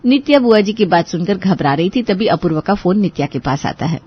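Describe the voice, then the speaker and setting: A woman's voice: fairly low pitch, animated, fairly quick, breathy edge, highs cut off. A woman narrating a scripted story expressively.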